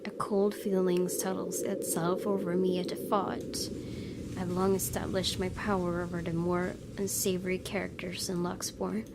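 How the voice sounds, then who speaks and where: A woman's voice: fairly low pitch, little pitch movement, normal speed, creaky, background noise. A woman gaming YouTuber doing a let's play, reading story text aloud expressively with casual asides. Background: game music.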